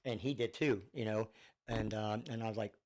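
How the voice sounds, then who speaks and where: A man's voice: on the low side, fairly even intonation, moderate pace, darkish tone. A middle-aged man with a northern US accent talks casually as a singer guest on a radio show. He rambles and restarts, with his speech full of fillers, and he is heard over a phone line. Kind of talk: casual radio interview chat. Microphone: Bluetooth phone line.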